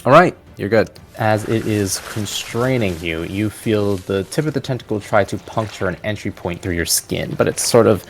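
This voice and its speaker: A man's voice: on the low side, moderate pace, hardly any pauses, a little hiss or hum. A man narrating a tabletop fantasy game as its game master. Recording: music playing behind. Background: background music.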